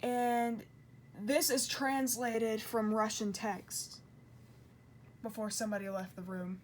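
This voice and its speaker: A woman with a fairly high voice talks through an informal explainer. She sounds earnest and conversational, correcting herself as she goes. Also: flat delivery, slow pace, a little hiss or hum. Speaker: video creator and commentator.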